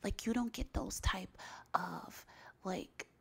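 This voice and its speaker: Woman whispering, sassy and blunt, raspy gravelly fry, breathy hush, ASMR advice. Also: mid-range voice, slow. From Los Angeles, USA. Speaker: advice giver.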